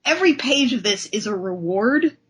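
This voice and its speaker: A woman's voice: medium pitch, expressive, moderate pace, fairly bright tone, a little hiss or hum. A woman hosting a casual discussion show, talking in an enthusiastic, effusive way. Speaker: podcast host.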